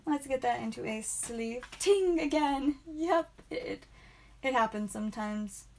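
A woman hosting a live card pack-opening stream, chatting playfully and saying sound effects aloud, with a calming voice. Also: fairly high pitch, measured pace. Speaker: trading card stream host. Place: indoor room with whiteboard.

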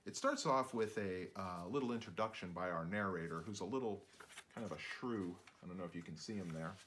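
A man's voice: low-pitched, brisk, a bit bright, a little hiss or hum. A man in his fifties, a children's author, speaking informally and reading storybooks aloud at home in his book-lined studio.

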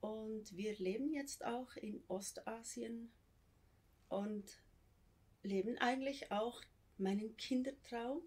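Woman, Swiss German accent, missionary guest, unhurried speech, thoughtful pauses. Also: a little high, darkish tone. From born in Hawaii, raised in Switzerland. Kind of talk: conversational interview.

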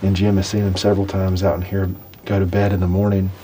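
Easygoing young male hunting host, deep mellow voice, flat steady delivery, faint hiss behind. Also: fast, low-quality recording. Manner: easygoing, plainspoken, talks his plan through. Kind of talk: casual on-camera hunting talk. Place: inside a small hunting blind.

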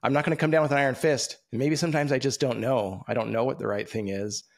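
A man in his thirties hosting an interview over a video call from a room with bookshelves, speaking in a relaxed, reflective, conversational way. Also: mid-range voice, fast talker, gravelly. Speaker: podcast host. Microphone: video call, webcam.

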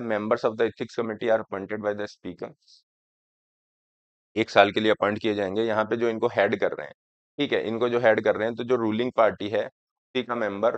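Hoarse man teaching a class. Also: fairly low pitch, no room sound. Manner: explaining slowly, often asking students if it is clear. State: hoarse throat from a cold. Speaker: exam coaching teacher. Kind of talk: lecture on current affairs, Hindi mixed with English. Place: studio classroom, in front of a screen. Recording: dry, slightly dull sound.

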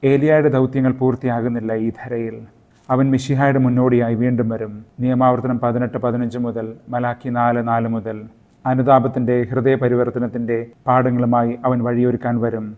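Flat-voiced man giving a sermon. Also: mid-range voice, narrow, tinny audio. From Kerala, India. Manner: measured, even delivery, mixing in English phrases. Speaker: priest and podcast host. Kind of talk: scripted sermon reflection. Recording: intro with music and roomy hall reverb.

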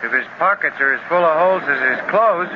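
A man's voice: fairly high pitch, lively intonation, brisk, phone-quality sound, natural voice. Casting: a man playing a folksy slangy sidekick, slightly raised voice, old hissy radio sound. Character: Barney, folksy, wisecracking young sidekick. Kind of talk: 1930s radio acting. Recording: scratchy old radio transcription with hiss.

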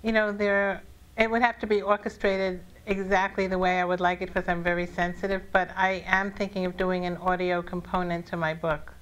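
A woman guest author chatting casually on a live talk show, speaking in a reflective, deliberate way with drawn-out phrases. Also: on the low side, flat delivery, fairly bright tone, smooth, poor audio.

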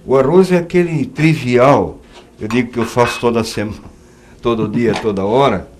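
A man's voice: medium pitch, moderate pace, slight background noise. A middle-aged man hosts a cooking show and chats through each step as he cooks on a TV studio kitchen set. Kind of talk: casual chat while cooking.

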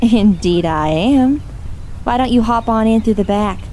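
An actress playing a flirtatious, cruel kidnapper. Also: female voice, a little high, expressive, a little slow, warm tone, a little hiss or hum. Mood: taunting. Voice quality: flirtatious, mocking.

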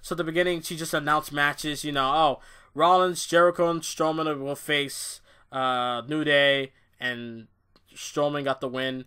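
Bright, ranting, foul-mouthed male reviewer. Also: fairly high pitch, steady tone, unhurried, smooth. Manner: blunt, swears a lot, ranting and exasperated. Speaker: wrestling show reviewer. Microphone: off-camera voice-only recording.

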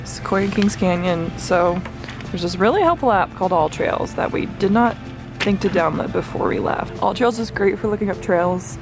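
A woman's voice: medium pitch, moderate pace, over music. A woman in her twenties chatting casually to a handheld camera at a forest campsite, giggling often. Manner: giggly, animated, laughs often. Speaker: vlogger. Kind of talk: casual vlog chat. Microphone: handheld camera at arm's length.

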